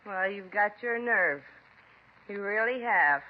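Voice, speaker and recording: A bitter, neglectful mother, shrill and accusing as she lashes out, then slipping into whining self-pity. Her voice sits in an ordinary middle range. It comes through a dull, muffled old radio broadcast. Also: lively intonation, slow. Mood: defiant. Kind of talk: 1950s radio drama acting.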